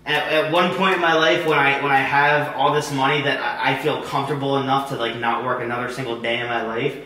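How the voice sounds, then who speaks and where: Man, 22, bright tone, halting and rambling; recorded far off on a hidden camera in a very echoey room. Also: medium pitch, fairly even intonation, a little hiss or hum. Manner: hesitant, rambling, many "like"s and restarts. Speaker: vlogger's boyfriend. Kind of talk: casual chat. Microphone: hidden camera across the room.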